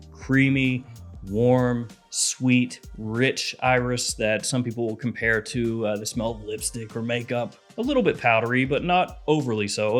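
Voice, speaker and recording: Man, thirties, head cold, animated casual review, small room, soft background music. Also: mid-range voice. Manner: chatty, animated, gesturing with hands. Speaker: fragrance reviewer. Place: small room with lit shelves of bottles.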